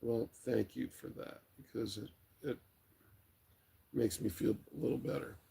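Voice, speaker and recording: A middle-aged man hosts a casual late-night livestream, reading comments and rambling along at his ease. His voice is heavily creaky and rough, with a worn, tired rasp. The tone stays mellow and dark, relaxed and unhurried throughout. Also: mid-range voice. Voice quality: raspy, gravelly. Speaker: livestream host. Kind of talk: casual chat, reading viewer comments aloud.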